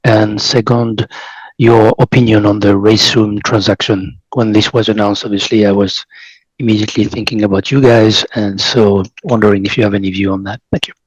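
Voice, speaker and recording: A man's voice: on the low side, steady tone, moderate pace, breathy edge, no room sound, dull audio. A man asking questions as an analyst on an earnings call, putting them politely in short, piecemeal phrases.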